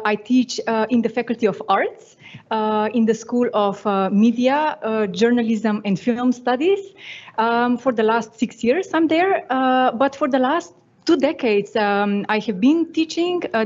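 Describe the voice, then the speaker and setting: A woman's voice: a little high, measured pace, a little mellow. Earnest, hesitant Slovenian-born woman. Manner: hesitant, many fillers, earnest. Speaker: panellist, university teacher. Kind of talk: panel discussion answers. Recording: muffled lo-fi sound.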